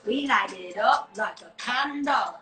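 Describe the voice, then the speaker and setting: A woman's voice: a little high, animated, unhurried, bright, forceful tone, echoey room, faint music behind. A woman recording artist singing and rapping in a home studio, switching into Hindi lines and vocal percussion, then chatting casually.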